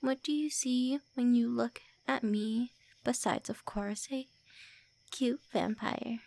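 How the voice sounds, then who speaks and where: A bashful tsundere vampire lady, voiced by a woman in an ASMR roleplay, stumbling over her words and teasing the listener. She speaks in a higher, gravelly whisper and takes her time. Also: slow, a little mellow. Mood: flustered teasing. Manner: whispery, stammering, brusque teasing. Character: tsundere female vampire lord, centuries-old, looks early twenties, flustered, insulting.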